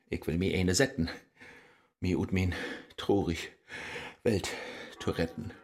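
Gloomy boastful scholar, low german accent, declaims slowly in rhyme, faint music under it. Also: male voice, a little mellow. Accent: Low German, northern Germany. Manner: theatrical, declamatory. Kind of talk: rhymed radio play acting.

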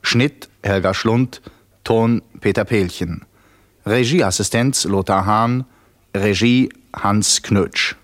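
A man reading closing credits as a formal 1960s radio announcer, measured and impersonal. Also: lowish voice.

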